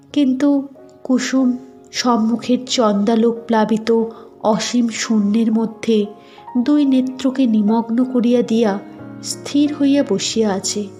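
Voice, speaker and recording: A woman narrates a literary story in a fairly high voice. She goes very slowly, measured and expressive, with soft music running underneath.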